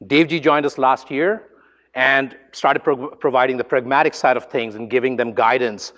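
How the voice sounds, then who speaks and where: A man's voice: mid-range voice, fairly even intonation, normal speed, a bit bright, long reverb tail. A man who is a professor, hosting an event and introducing the speakers off the cuff and with enthusiasm. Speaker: host and professor of entrepreneurship. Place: large lecture hall, amplified.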